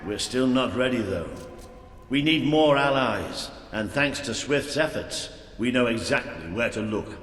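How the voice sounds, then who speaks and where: An actor playing an earnest, steadfast veteran soldier and rebel leader in a fantasy video game. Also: male voice, medium pitch, animated, measured pace, a bit bright, a little hiss or hum. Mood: solemn. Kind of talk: dramatic game dialogue. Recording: echoey stone-hall reverb, faint music.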